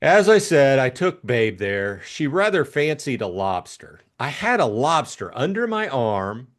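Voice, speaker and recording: Man acting a flustered, animated young English gentleman. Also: medium pitch, unhurried. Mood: indignant. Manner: protesting, stammering repetition. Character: flustered, indignant young upper-class English gentleman, easily embarrassed. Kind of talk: audiobook character acting.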